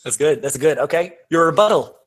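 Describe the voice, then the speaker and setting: A man hosting a comedy podcast game, bantering casually in a playful, somewhat halting way. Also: a little high, expressive, slightly rough.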